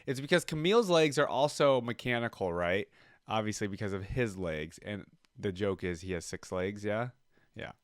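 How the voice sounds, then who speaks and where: Low-voiced man reacting casually, dry sound. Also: lively intonation, normal speed, dry recording. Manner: curious, thinking aloud. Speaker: reaction video host. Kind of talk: casual reaction commentary with some reading aloud.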